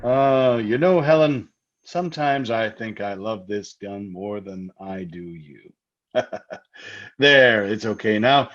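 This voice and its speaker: An actor playing a jovial but menacing hunting husband in old-time radio drama style, breezy and taunting. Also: male voice, fairly low pitch, takes it slowly, dull audio. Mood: jovial, sly. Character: jovially menacing husband, keen hunter, teasing with murder talk.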